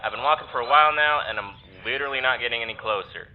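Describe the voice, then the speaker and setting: An actor playing a snarky, uneasy young man exploring a strange mindscape in an audio drama, speaking in a halting way. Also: medium pitch, lightly acted. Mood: wary, exasperated. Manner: hesitant, halting, sardonic. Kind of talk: naturalistic audio drama acting. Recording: tinny phone-like filter with background noise.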